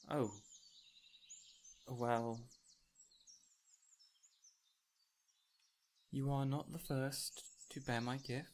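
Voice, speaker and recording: Birdsong and forest ambience surround a fey spirit acted by a man in an intimate audio roleplay. He is a gentle, whimsical fellow of medium pitch, joyful yet wistful. His phrasing is courteous, old-fashioned and theatrical, addressed directly to the listener. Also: lightly acted. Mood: wistful, sorrowful. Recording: clear ambient noise with birdsong.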